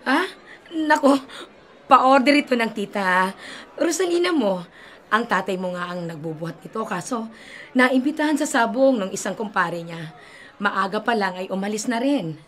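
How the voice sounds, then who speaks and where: A woman's voice: medium pitch, expressive, moderate pace, long pauses, a bit bright, lightly acted. A middle-aged woman playing a desperate mother who hides her undead daughter, speaking in a tremulous, anxious, often whispered voice in a radio drama. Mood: flustered.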